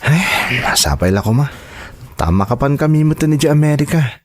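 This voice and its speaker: A man's voice: fairly high pitch, expressive, a little fast, close to an everyday voice. A man with an Ilocano accent acting a trusting, devoted young son and partner in an audio drama, speaking earnestly and reassuringly. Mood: hopeful, reflective.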